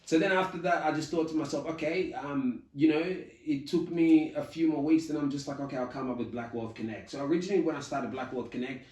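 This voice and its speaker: A man's voice: fairly high pitch, fast talker, few pauses, darkish tone, echoey room, distant mic, low-quality recording. A man guest in a casual interview, who tells his story with energy and acts out his own past thoughts, often adding "you know". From Australia. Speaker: podcast guest, community founder.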